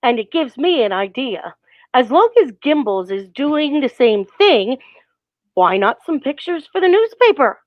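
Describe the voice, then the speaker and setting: Lady doing brisk 40s radio career woman, high n lively, bit muffled recording. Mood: eager. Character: brisk, polished businesswoman, eager to persuade. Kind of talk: 1940s radio acting.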